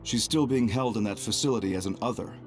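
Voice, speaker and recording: Male actor as calculating young spy in an anime game: low, formal, grave, quietly remorseful. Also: fast, faint music behind, lightly acted. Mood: grave, composed. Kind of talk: anime-style video game cutscene acting.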